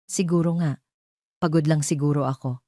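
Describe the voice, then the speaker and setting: Woman voicing a betrayed Filipino wife, feigning calm. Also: low voice, normal speed, dry recording, studio quality, close to an everyday voice. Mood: subdued, evasive. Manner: calm, even, controlled.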